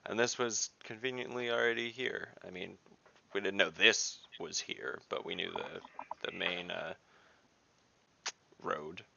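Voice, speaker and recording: A low-voiced man with a gravelly creak and a forceful, bright edge plays a tabletop game. He talks casually, bluntly and dryly. His mic is a little dull, with faint noise behind it. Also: fairly even intonation, measured pace, pauses often.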